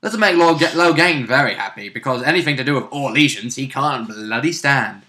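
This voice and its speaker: A man's voice: medium pitch, animated, a little fast, pushed and bright. A British man chatting casually about the story as a player in a tabletop role-playing game.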